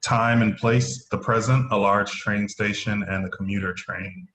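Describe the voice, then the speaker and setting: A man's voice: on the low side, fairly even intonation, measured pace, a bit bright, poor audio. A man reading out the title, cast list and stage directions of an audio play as narrator. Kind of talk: scripted announcement of title and characters.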